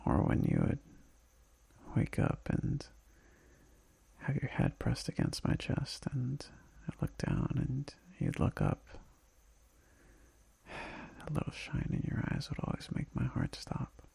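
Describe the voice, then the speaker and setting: A man playing a homesick, tender boyfriend in an intimate ASMR roleplay voicemail, speaking softly and breathily with halting pauses and sighs. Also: mid-range voice, huge pitch swings, gravelly, breathy edge, dry recording, exaggerated voice. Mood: tender, nostalgic.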